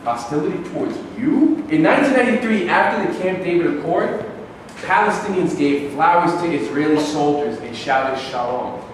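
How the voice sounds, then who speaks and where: A man's voice: on the high side, expressive, moderate pace, lightly acted. A man in a staged play reading, playing an aggrieved, defiant Palestinian who argues his cause in a declamatory way. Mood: aggrieved, insistent. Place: reverberant room at a staged reading. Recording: very echoey hall, distant mic, faint noise.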